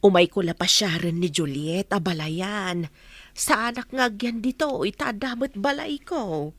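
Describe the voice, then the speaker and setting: A woman's voice: medium pitch, measured pace, fairly bright tone, no echo. A woman acting a fond, concerned middle-aged village mother in a radio drama. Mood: matter-of-fact.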